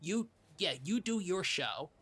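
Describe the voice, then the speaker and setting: Man acting as flustered podcast co-host, highish bright voice, halting, fussy, stammering, trailing off, comedy chat. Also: very animated, full character voice. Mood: flustered, exasperated. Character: flustered, conflict-avoiding, nervous small-town podcast co-host.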